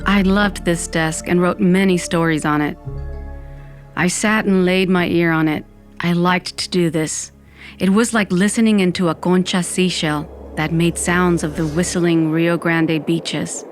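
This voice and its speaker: A woman's voice: fairly low pitch, fairly even intonation, measured pace, close to an everyday voice. A woman playing a sardonic undead woman, narrating an audio fiction in the first person. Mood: nostalgic. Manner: wry, dramatic, peppered with Spanish exclamations. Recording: music bed under the voice.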